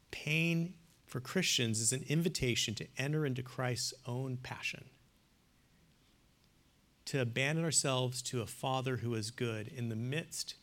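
Man Lecturer, mid-pitched voice, earnest, emphatic, dips hushed then rises loud. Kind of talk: classroom lecture on theology and poetry.

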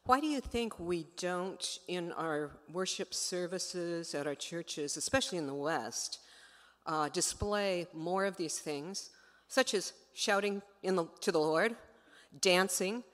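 A woman's voice: fairly low pitch, takes it slowly. A woman speaking informally from an audience, giving a personal comment and question at a religious gathering. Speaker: audience member, film mission worker. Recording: reverberant hall.

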